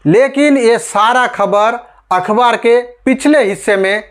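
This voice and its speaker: High-pitched man delivering emphatic news commentary. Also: takes it slowly, a bit bright. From India. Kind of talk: scripted news commentary to camera.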